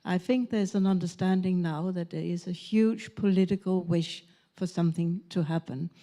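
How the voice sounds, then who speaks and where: A woman from Denmark, speaking as a panelist at a conference in a measured, thoughtful way with pauses and fillers. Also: fairly low pitch, mellow. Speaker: conference panelist, former parliament member.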